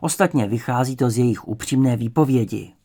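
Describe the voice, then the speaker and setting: A man's voice: mid-range voice, expressive, darkish tone, lightly acted. An old good-cop interrogator from an audiobook, read by a man. He is obliging and falsely kindly, wheedling as he persuades. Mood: soothing, persuasive.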